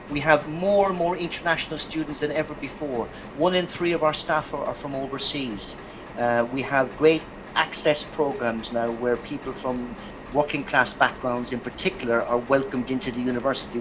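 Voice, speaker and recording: A man with an Irish accent giving an informal, reflective academic presentation in measured phrases over an online call. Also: medium pitch. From Ireland. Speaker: university academic guest speaker. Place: video call. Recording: noisy online call audio.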